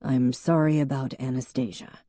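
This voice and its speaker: A woman's voice: rumbling low, steady tone, moderate pace, mellow, dry recording, clean studio sound. A woman reading an audiobook, voicing a reserved young man who speaks tersely.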